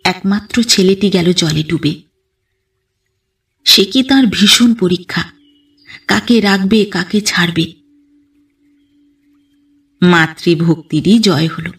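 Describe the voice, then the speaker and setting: A woman reading a literary first-person story as an audio narrator, with an expressive, dramatic storytelling delivery. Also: lowish voice, slow, long pauses, recorded from a distance.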